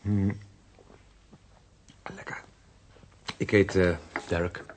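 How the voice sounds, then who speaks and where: A man with a husky voice acting in a radio drama as a shy, old-fashioned, smitten man, hesitant and self-reproaching. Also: low-pitched, level tone, extremely slow, a little hiss or hum. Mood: shy, curious. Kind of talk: radio drama acting with first-person narration.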